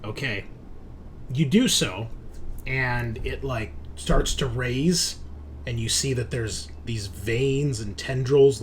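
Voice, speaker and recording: A man of average pitch runs a tabletop roleplaying session as game master. He sets the scene casually and descriptively, taking his time, over faint background noise.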